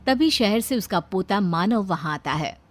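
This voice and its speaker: A woman's voice: mid-range voice, animated, a little slow. A woman reading scripted story narration, with music behind her voice.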